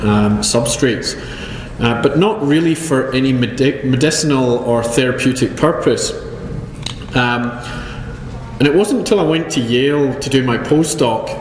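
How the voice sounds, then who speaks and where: A man's voice: medium pitch, slight background noise. A Scottish man giving a chemistry talk at a conference, with dry self-deprecating humor and frequent ums. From Scotland. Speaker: chemistry professor. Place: lecture hall. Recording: roomy hall with long echo.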